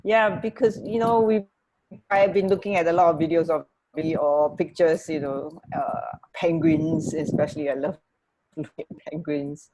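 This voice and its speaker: A woman co-hosting a radio show, chatting casually and haltingly over an internet connection. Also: low-pitched, fairly even intonation, noisy background. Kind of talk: casual chat.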